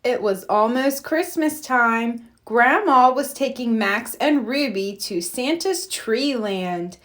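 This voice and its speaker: A woman narrates a picture book for small children. Her voice is high and lively in melody, and she takes her time with careful, expressive phrasing. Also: takes it slowly. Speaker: read-aloud storyteller.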